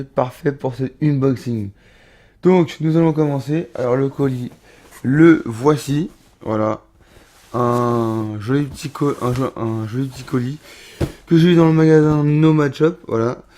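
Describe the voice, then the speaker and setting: A man's voice: mid-range voice, unhurried, warm tone. A man of thirty chatting casually through an unboxing vlog in his home studio, full of fillers and restarts. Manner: chatty, many fillers and restarts, addresses viewers as little rabbits. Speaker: roller skating vlogger.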